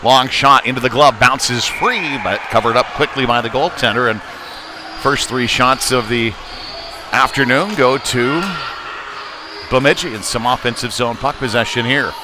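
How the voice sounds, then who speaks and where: Man calling hockey over loud arena noise. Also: mid-range voice, normal speed. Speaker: play-by-play hockey announcer. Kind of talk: live sports commentary. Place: indoor ice arena. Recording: live rink broadcast with loud background noise. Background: loud arena ambience.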